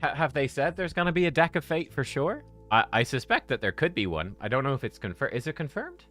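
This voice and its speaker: A man chatting casually on a live game stream, relaxed and thinking out loud as he plays. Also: medium pitch, quick pace, soft background music.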